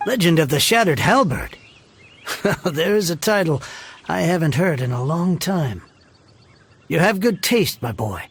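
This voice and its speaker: Game role: theatrical old grouch, bookish, high husky voice with heavy creak. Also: male voice, animated, a little slow, pauses often, heavily acted. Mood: nostalgic, reverent. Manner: theatrical, blustering, exasperated. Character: grouchy, dramatic older male book collector. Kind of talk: English video game voice acting.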